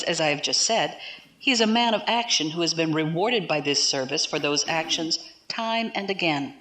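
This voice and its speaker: Female space navy defence lawyer, slightly low register, formal orator, earnest and persuasive, audio drama. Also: a bit bright. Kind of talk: science fiction audio drama acting.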